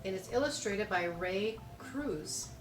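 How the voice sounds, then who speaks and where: A woman reading a children's storybook aloud expressively, with dramatic emphasis and deliberate pauses on key words. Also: mid-range voice, expressive. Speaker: storybook reader. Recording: echoey room, distant mic, music behind.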